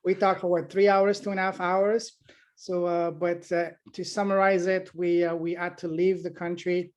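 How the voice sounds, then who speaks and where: A man's voice: high voice, little pitch movement, moderate pace, muffled recording. A middle-aged man from Afghanistan answering interview questions about his childhood, with many fillers and restarts. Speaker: podcast host being interviewed.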